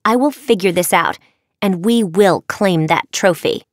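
A woman's voice: lowish voice, a little slow, no echo, close to an everyday voice. Casting: a woman as a proud young fairy schoolgirl villain, curt and defiant, storybook reading with a dry sound. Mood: bossy. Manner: haughty, curt.

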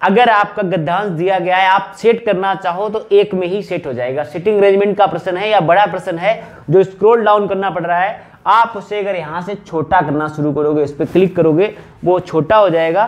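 Male coaching teacher, thirties, high-pitched voice, instructive and emphatic, explaining to students. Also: fairly quick, few pauses. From northern India. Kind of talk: explanatory exam-prep lesson at a whiteboard. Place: small indoor room with whiteboard.

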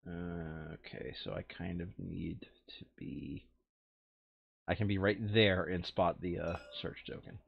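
A man casually playing through a board game, reading card text aloud and adding expressive, muttered asides. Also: low-pitched, unhurried, gravelly, breathy edge, dull audio.

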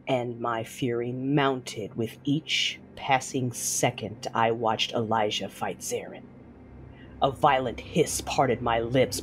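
A woman playing a fierce, grieving warrior mother who narrates her own story in a measured, dramatic audio drama style. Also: a bass voice, a little hiss or hum, low-quality recording. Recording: voice over a music bed.

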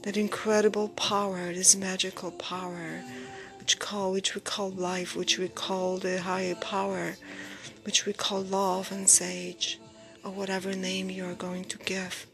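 A woman guiding a meditation in a soft, breathy, near-whispered voice, soothing and unhurried, in short phrases with pauses. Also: medium pitch, flat delivery. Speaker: meditation guide. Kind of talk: guided meditation. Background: background music.

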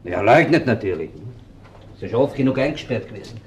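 An actor playing a blunt, sceptical police detective in a crime radio play, dry and matter-of-fact, with an Austrian accent. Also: male voice, mid-range voice, animated, fast, natural voice.

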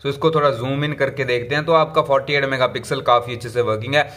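Male tech vlogger, relaxed chatty voice-over, mid pitch, switches freely into English terms. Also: quick pace. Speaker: tech reviewer. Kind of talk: casual tech review walkthrough.

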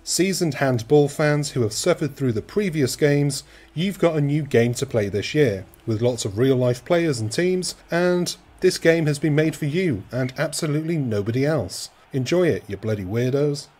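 Husky man reviewing games. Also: fairly high pitch, lively intonation, moderate pace, darkish tone. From rural Wales. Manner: dry, cheeky humour. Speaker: game reviewer. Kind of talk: scripted video game review voice-over.

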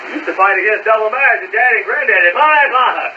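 A man's voice: high-pitched, animated, fast, pushed and bright. A man preaching a fiery Pentecostal holiness sermon, screaming his exhortations and dropping suddenly into plainer talk, heard over shortwave radio. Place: heard from a shortwave receiver's speaker. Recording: noisy, muffled shortwave radio broadcast, distant.

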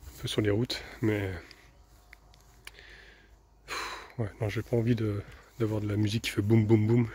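A man's voice: on the low side, little pitch movement, moderate pace, a little mellow, husky. A tired man in his thirties chatting casually to the camera while driving his camper van, thinking aloud with many fillers. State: tired after a sleepless night. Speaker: vanlife vlogger. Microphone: camera mounted on the dashboard. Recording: engine and road noise behind the voice.

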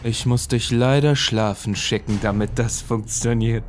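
A man voicing a sinister, obsessed mad surgeon in a horror audio drama, speaking deliberately and menacingly. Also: medium pitch, fairly even intonation, a little hiss or hum, close to an everyday voice. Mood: calm, sinister. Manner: slow, deliberate, menacing delivery. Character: sinister mad surgeon with unnatural glowing eyes, obsessed creator.

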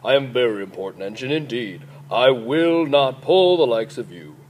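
A man's voice: mid-range voice, animated, normal speed, slight background noise, voice pushed down, lightly acted. Big freight locomotive for a storybook, played by a man: pompous, indignant, bellowing, very sure of its importance. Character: big strong self-important freight engine, male.

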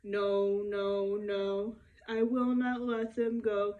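A stubborn, mean storybook king who drawls his refusals slowly and digs in his heels. A woman puts him on in a voice pitched a little lower than her own, for young listeners. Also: completely flat delivery, muffled recording. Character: stubborn mean king Pharaoh, adult man.

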